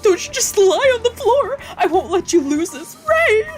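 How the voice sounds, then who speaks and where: A woman's voice: very high voice, very animated, moderate pace, over music, voice pushed up. A woman voicing a fierce, frantic friend cheering on a fighter in a visual novel read-aloud. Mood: frantic urging.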